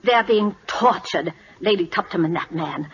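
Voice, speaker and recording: An actress playing an earnest, outspoken schoolteacher at a royal court, with precise, emotional diction in a 1940s radio style. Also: female voice, on the low side, animated, moderate pace. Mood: impassioned, indignant. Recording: dull, lo-fi old radio recording.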